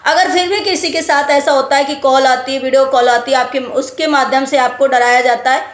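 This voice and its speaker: A woman's voice: sits high, fairly even intonation, brisk. A woman vlogger talking casually to viewers and giving advice, explaining with emphatic repetition. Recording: roomy, echoey sound.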